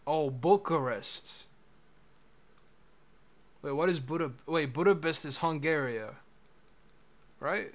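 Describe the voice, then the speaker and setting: A young man on a livestream, talking slowly and lazily to his chat with a slightly raised voice. He jokes around in a laid-back way. Headset mic in a small room at home. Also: fairly high pitch. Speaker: streamer.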